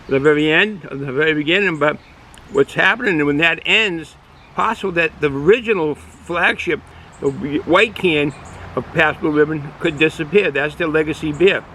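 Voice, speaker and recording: A man's voice: a little high, bright, forceful tone, slight background noise, low-quality recording. Boston man rambling through a beer review. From Boston area, United States. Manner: rambling, halting, many fillers and restarts. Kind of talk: casual unscripted review. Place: outdoors, sun in his eyes.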